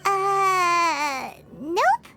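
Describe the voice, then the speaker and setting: A woman's voice: extremely high pitch, lively intonation, very slow, over music, full character voice. A woman voicing a tiny, childlike floating fairy girl in a video game, chatty, blunt and naive. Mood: puzzled, blunt. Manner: childlike, blunt, chatty, refers to herself by name. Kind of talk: cartoonish video game voice acting.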